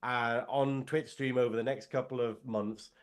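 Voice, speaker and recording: A man's voice: medium pitch, steady tone, moderate pace. A man, co-hosting a casual podcast and rambling in a jokey way with lots of fillers. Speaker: podcast co-host. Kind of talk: casual chat.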